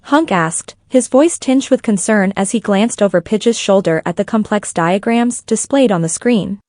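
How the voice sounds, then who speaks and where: A woman's voice: mid-range voice, moderate pace, darkish tone, dry recording, clean studio sound. A woman reading scripted fan fiction as a story narrator.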